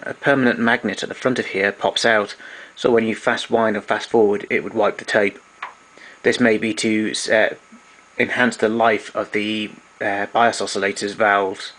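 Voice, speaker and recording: A man's voice: on the low side, a bit bright, rough recording. A man gives an informal technical demonstration in a matter-of-fact, explanatory way. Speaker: vintage tape recorder collector presenting. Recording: clear background noise, room ambience.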